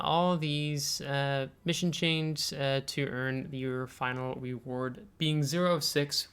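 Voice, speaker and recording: An American man hosting a video game tutorial, explaining things step by step in a halting way with frequent filler pauses. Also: fairly high pitch, fairly bright tone, clear, resonant tone. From United States. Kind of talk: explanatory game tutorial, semi-scripted screen walkthrough.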